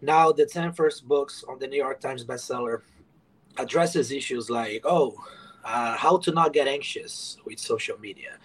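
A man's voice: mid-range voice, a little slow, slightly rough, rough recording. A man speaks in a mid-range voice as a podcast guest. He talks in an earnest, rambling way and leans on lots of fillers as he tells his story. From Brazil. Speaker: guest author. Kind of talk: casual podcast interview.

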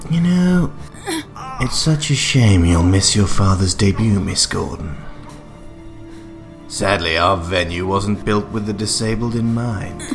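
Sadistic clown villain, low-pitched, slow, pompous mock courtesy, loud music beneath, audio drama. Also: male voice, expressive. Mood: sadistic, mock-soothing. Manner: pompous, mock-literary, taunting.

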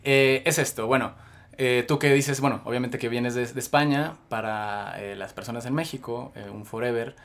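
A man's voice: mid-range voice, steady tone, moderate pace, vocal fry, fairly bright tone. A man with a Mexican accent hosting a podcast and introducing his guest at length with many fillers. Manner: rambling, filler-heavy introductions. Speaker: podcast host. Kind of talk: casual podcast interview.

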